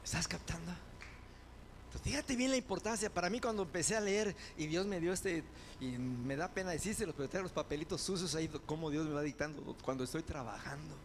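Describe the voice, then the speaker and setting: A man preaching a sermon as pastor, building to loud fervent proclamations and dropping into quick conversational asides. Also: on the high side, a little hiss or hum.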